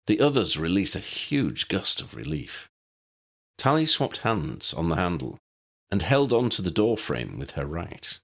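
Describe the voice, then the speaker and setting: A man reading scripted story narration in an expressive storytelling manner. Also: low-pitched, a little slow, creaky. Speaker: audiobook narrator.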